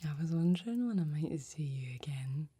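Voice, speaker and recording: Folksy fantasy blacksmith, female performer, ASMR roleplay. Breathy near-whisper, dark soft tone, gentle and admiring. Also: low-pitched, lively intonation, moderate pace, heavily acted. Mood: warm, admiring. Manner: gentle, quaint old-fashioned exclamations and phrasing.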